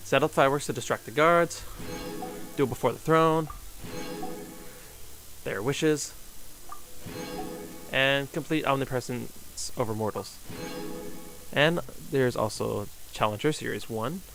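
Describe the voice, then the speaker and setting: A man giving casual, off-the-cuff live gameplay commentary, stumbling over words and reading text aloud in halting phrases. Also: mid-range voice, creaky, rough recording. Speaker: game streamer. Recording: faint game music behind.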